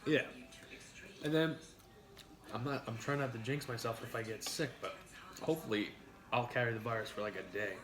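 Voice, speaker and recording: A man's voice: mid-range voice, a little fast, pauses often. A man who drives a truck for work, chatting bluntly and teasing over a meal, swearing freely, his nose starting to run. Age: adult parent. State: runny nose. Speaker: mukbang host, truck driver. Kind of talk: casual chat while eating.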